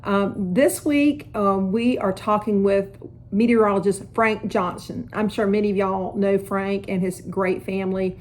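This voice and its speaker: A woman's voice: medium pitch, steady tone, measured pace, a little mellow. A woman, hosting a podcast and chatting casually with her guest. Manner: chatty, conversational. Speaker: podcast host and realtor. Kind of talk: casual interview chat.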